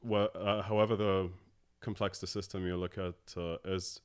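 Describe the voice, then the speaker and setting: A man in his thirties from Abu Dhabi, a tech co-founder talking as a podcast guest in a halting way with many filler sounds. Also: low voice, little pitch movement, smooth. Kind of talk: casual interview talk.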